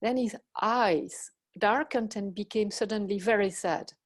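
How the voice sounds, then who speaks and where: A middle-aged woman from Belgium with a Belgian French accent, giving a talk as a professor and telling personal anecdotes in a measured, reflective way with pauses and self-corrections. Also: mid-range voice.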